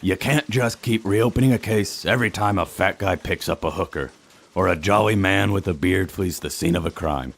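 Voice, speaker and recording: A man playing an exasperated, stern police chief with a gruff, husky voice in a comedic noir audio drama. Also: low-pitched, normal speed, fairly bright tone, full character voice. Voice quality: gravelly, husky.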